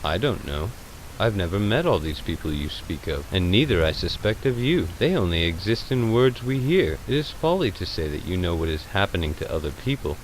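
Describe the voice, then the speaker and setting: Audiobook role: philosophical male hermit acted by a male reader, low-pitched, quietly polite and detached, with lilting variation. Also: big pitch swings, brisk, a little hiss or hum, low-quality recording. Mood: mild. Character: mild, detached, philosophical male recluse, gently bemused. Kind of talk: audiobook character voice.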